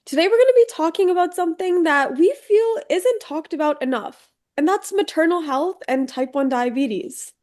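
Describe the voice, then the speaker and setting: A woman hosts a podcast with a high, mellow voice. She is upbeat and friendly as she reads the intro and asks questions. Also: animated, normal speed. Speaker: podcast host.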